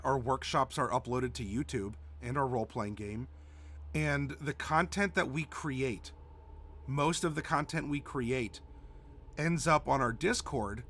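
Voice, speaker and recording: A man with a cold, stuffy and a little hoarse, hosting a live stream in a rambling, casual way with many restarts. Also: mid-range voice, fairly bright tone. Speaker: stream host.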